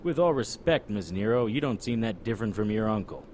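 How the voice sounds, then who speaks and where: An actor playing a serious, plain-spoken 1930s private detective in a noir audio drama. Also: male voice, on the low side, expressive, brisk, a little mellow, a little hiss or hum, natural voice. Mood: polite, firm. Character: earnest, dutiful 1930s private detective, a man. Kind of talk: noir audio drama acting and first-person narration. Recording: music behind the voice.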